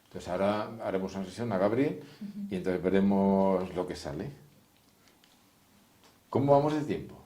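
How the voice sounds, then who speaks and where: A man's voice: low voice, moderate pace, pauses often, warm tone. A man giving an informal, didactic class, explaining step by step and addressing his listeners directly. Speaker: therapist teaching a class. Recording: echoey room.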